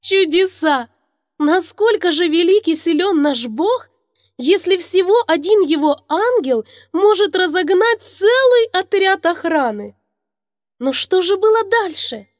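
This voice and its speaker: A woman voicing a cheerful, curious little girl puppet, wide-eyed and expressive, hosting a children's show. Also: very high voice, measured pace, dry recording, studio quality. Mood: amazed. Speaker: host.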